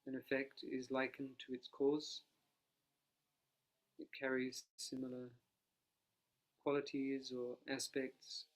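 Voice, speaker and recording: A quiet, soft-spoken man guides a meditation as a monastic teacher. His delivery is even and nearly flat in pitch, calm and unhurried, and he talks without a dedicated microphone. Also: poor audio.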